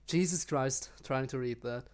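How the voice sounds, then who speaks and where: A man's voice: medium pitch, unhurried. A man streaming a video game, giving casual commentary and muttered asides. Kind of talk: casual live gaming commentary.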